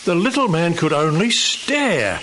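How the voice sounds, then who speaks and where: Male narrator, theatrical and lyrical, fairly high, swooping pitch, noisy live recording. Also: very animated, measured pace, bright, forceful tone. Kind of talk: expressive scripted story narration for live radio theatre. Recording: clear background noise, live audience recording.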